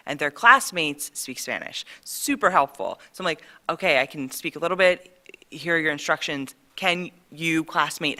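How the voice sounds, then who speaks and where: A teacher explains points to a school board in a chatty way, full of fillers. The voice is bright and forward. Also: mid-range voice. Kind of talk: presentation at a school board meeting. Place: meeting room.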